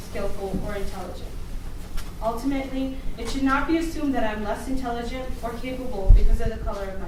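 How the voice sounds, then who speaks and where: A young woman, a student council co-chair, reads a written testimony aloud at a public university forum in an earnest, steady voice, with small slips and restarts. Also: fairly high pitch. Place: university lecture room at a public event. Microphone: room recorder from a distance. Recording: distant, very echoey room recording with noise.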